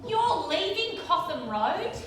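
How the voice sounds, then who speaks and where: Guarded young woman, high, very echoey. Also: sing-song, slow, a bit bright, exaggerated voice. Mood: dismayed. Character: guarded, questioning young woman in mystery drama. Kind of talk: live stage radio play acting. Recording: very echoey stage, clear background noise.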